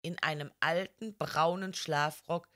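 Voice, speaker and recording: Woman reading as a slow-spoken, proud young woman. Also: deep voice, fairly even intonation, fairly bright tone. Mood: earnest confiding. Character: proud, defiant young red-haired woman confiding family secrets. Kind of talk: audiobook character reading.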